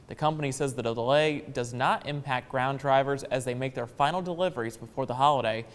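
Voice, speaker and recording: A man's voice: mid-range voice, brisk. American male news anchor in reverberant room. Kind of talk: scripted newscast delivery.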